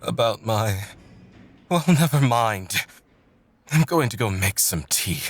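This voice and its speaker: Man acting a flustered, bookish young poet, very animated. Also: fairly low pitch, slow pace, husky, full character voice. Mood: ashamed, timid. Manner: earnest, wordy, dramatic. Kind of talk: visual novel audio drama acting. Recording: music behind the voice.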